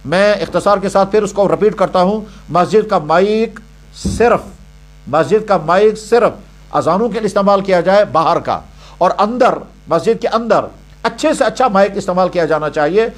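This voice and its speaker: A man's voice: high-pitched, normal speed. A man giving religious guidance in a didactic, emphatic way, repeating points in parallel phrases. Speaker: Islamic scholar answering religious questions. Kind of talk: religious question-and-answer sermon.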